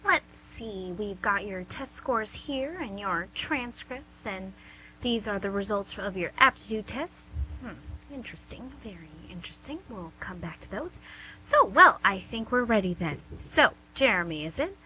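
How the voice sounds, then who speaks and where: Woman acting as prim guidance counselor, bright highish voice, polite exasperation, radio comedy, faint hum. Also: expressive, unhurried, low-quality recording. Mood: businesslike. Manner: polite, hesitant, dryly skeptical.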